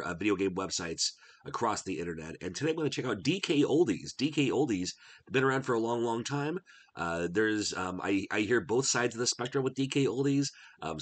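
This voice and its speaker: A middle-aged man with a congested cold voice, chatting casually as he browses a retro game store online. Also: on the low side. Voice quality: husky. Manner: chatty, musing aloud while browsing. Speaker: retro game channel host. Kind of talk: casual screen-share commentary.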